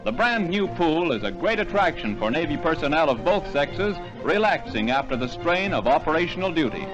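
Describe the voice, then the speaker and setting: A man's voice: fairly high pitch, sing-song, moderate pace, dull audio, music in the background. A man reading scripted 1940s newsreel narration in a formal announcer delivery, on a vintage soundtrack.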